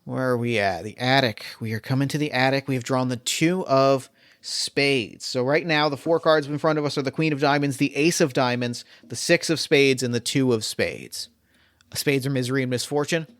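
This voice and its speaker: A man running a solo tabletop game as game master, excitable and quick to laugh. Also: medium pitch, no room sound, clean studio sound. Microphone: remote call mic.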